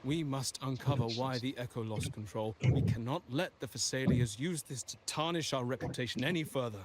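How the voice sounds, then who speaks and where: A man's voice: mid-range voice, fairly quick, noisy background, lightly acted. An actor playing a polite, earnest businessman of a wealthy merchant family in a video game, speaking formally and explaining carefully. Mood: concerned, indignant. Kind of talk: scripted video game dialogue. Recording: game music behind the voice. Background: video game music.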